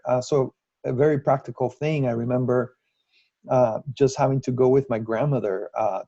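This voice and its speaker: A man, telling a personal story in a reflective way with many pauses. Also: mid-range voice, gravelly, mellow, no echo, lo-fi sound. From Cuba. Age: 50s.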